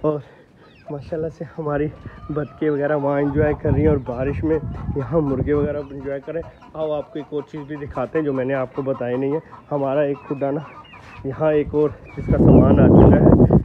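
A young man vlogging his poultry yard on a phone held at arm's length, chatting and calling out loudly to his birds. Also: mid-range voice, little pitch movement, moderate pace. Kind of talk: casual selfie vlog. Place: rooftop poultry yard outdoors, light rain. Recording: dull phone audio with outdoor noise.

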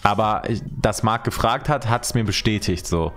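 A man's voice: fairly low pitch, normal speed, fairly bright tone. A man in his twenties giving casual, colloquial reaction commentary as a YouTuber, close on a desk microphone. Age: 20s. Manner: colloquial, chatty. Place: small room at a desk at home.

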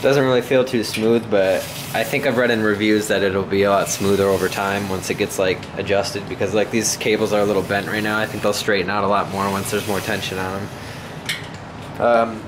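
Casual male vlogger, fairly deep voice, hesitant off-the-cuff delivery, recorded on a handheld camera with noticeable hum. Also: little pitch movement, moderate pace. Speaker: home gym vlogger. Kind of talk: casual unscripted vlog narration. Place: concrete basement home gym, indoors. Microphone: handheld camera mic, operated by speaker.

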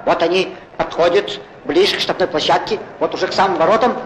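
A man playing an excitable, good-humoured football commentator in a 1940s cartoon, chatty and theatrical, on a noisy old film soundtrack. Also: high-pitched, quick pace, natural voice. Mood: lively, engaged. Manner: chatty, theatrical, exclaiming, correcting himself. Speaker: sports commentator.

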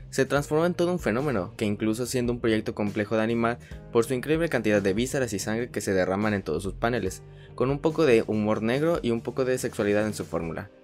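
Male reviewer, lowish voice, chatty and filler-heavy, soft music bed. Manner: chatty, frequent filler "pues", restarts. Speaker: anime reviewer. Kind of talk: casual video review voice-over. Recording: voice-over with faint music behind.

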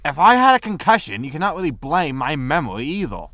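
A man's voice: on the high side, very animated, fast talker, sharp tone, dry recording, studio quality. A man playing a greedy, breezy, disgraced space haulage captain in a sci-fi comedy audio drama, flippant and full of excuses. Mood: defensive, flippant. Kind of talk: science fiction comedy audio drama acting.

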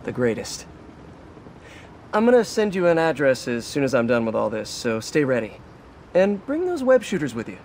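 An actor playing a young, upbeat, self-deprecating superhero who chats and quips in a video game. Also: male voice, medium pitch, sing-song, moderate pace, darkish tone, slight background noise. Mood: encouraging. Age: young adult. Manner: chatty, quippy.

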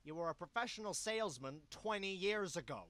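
A man's voice: high-pitched, lively intonation, a little fast, a bit bright, dry recording. A man voicing an anxious, exasperated dog sidekick in a cartoon video game, whiny and sarcastic.

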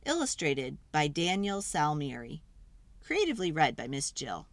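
A woman's voice: sits low, wildly swooping pitch, normal speed, dry recording. A woman reading a children's storybook aloud in a dramatic, theatrical way, stretching words for emphasis.

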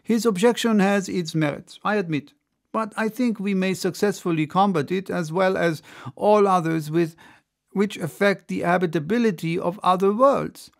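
Storybook orator, male, acted by a male reader: bold, grandiloquent, emphatic delivery, highish and creaky. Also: normal speed. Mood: courteous, reasoning. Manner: declamatory, emphatic.

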